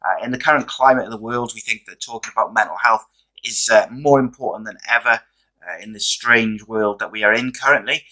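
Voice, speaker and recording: A man hosts a podcast in a relaxed, chatty way, scattering fillers as he talks. His voice is going hoarse. The tone is bright and forward. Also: lowish voice, a little fast, poor audio. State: losing his voice. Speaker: podcast host. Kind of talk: casual podcast chat.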